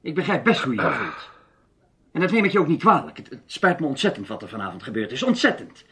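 A man's voice: on the high side, wildly swooping pitch, fast talker, a bit bright, slightly rough, heavily acted. An actor playing an urgent, emphatic, hot-blooded man in love in a radio play. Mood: urgent, earnest.

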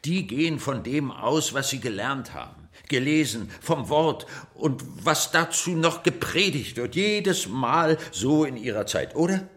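East Berlin curator, mid-pitched, conversational and conciliatory, musing aloud and nudging with tag questions, naturalistic radio drama. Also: male voice, lively intonation, unhurried, few pauses, a bit bright. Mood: soothing, earnest.

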